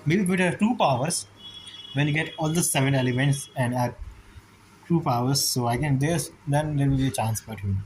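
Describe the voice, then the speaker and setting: A man giving casual, halting gameplay commentary, thinking aloud as a streamer. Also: medium pitch, a little mellow, a little hiss or hum, low-quality recording. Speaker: game streamer. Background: game music.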